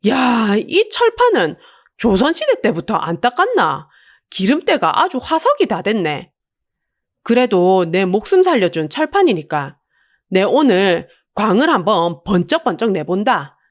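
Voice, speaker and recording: A woman playing a defiant, down-and-out middle-aged woman with a sharp tongue and a Busan accent. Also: mid-range voice, big pitch swings, a little slow. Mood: brash grumbling. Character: feisty, vengeful down-and-out betrayed middle-aged woman.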